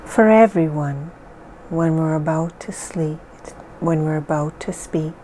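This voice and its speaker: A woman leading a guided hypnosis session in a slow, soothing, measured way with long pauses. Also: low voice, warm tone, slight background noise, low-quality recording.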